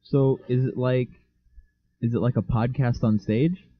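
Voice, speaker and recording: A young man co-hosting a casual podcast, joking sarcastically and deadpan. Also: medium pitch, measured pace. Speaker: podcast co-host. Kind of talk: casual chat. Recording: dull lo-fi home mic.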